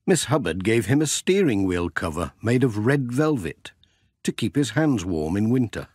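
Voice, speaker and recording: A man reading a children's storybook as an expressive audiobook narrator. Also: fairly low pitch, slightly rough, dry recording, studio quality.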